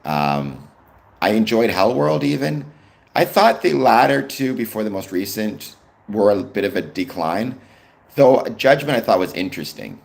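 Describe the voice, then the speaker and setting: A man's voice: fairly low pitch, big pitch swings, a little slow, creaky, darkish tone. A young Canadian man with a Canadian accent hosts his live stream in easygoing chat, answering viewers' comments with frequent ums. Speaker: livestream host.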